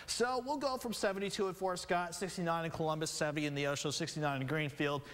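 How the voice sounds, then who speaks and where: A man's voice: a little high, fairly even intonation, quick pace, fairly bright tone, big-room sound. A man presenting a conversational television weather forecast as the station's meteorologist. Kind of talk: broadcast weather report, conversational ad-libbed presenting. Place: television studio.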